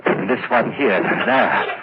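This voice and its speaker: An actor playing a tormented, guilt-ridden murderer muttering haltingly to himself in a 1940s radio horror play, on a noisy old recording. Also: male voice, on the low side, big pitch swings, dull audio. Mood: pleading, self-justifying. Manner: brooding, halting, talking to himself.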